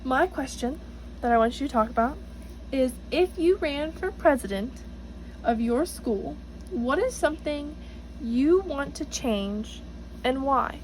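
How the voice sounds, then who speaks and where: A woman reading a picture book aloud to children in an encouraging, teacherly way, pausing to address them directly with questions. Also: sits high, animated, a little mellow, a little hiss or hum, poor audio. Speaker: storybook reader for children, student teacher.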